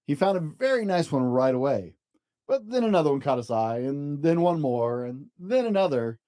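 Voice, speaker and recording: A storyteller reading a children's picture book aloud in an expressive, gentle way, as if to young children. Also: medium pitch, normal speed.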